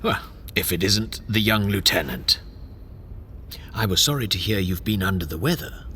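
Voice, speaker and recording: A man playing a smooth, scheming royal chamberlain in an audio drama, unctuous and courteous, speaking deliberately. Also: on the low side, lively intonation, sharp tone, a little hiss or hum. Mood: polite, probing.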